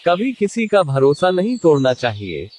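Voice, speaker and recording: A man's voice: a little high, big pitch swings, normal speed, a little mellow, a little hiss or hum. A man reading scripted narration as the narrator of a moral.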